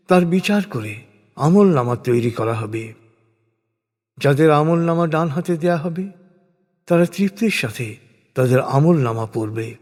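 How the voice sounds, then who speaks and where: A man reading a scripture translation as solemn, scripted religious narration, in a measured, declamatory way. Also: on the high side, darkish tone. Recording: long cavernous reverb.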